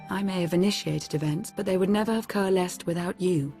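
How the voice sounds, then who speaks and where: Woman acting a space saga advisor, lowish female voice, measured, formal, earnest, game cutscene. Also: dark tone, soft background music, lightly acted. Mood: composed.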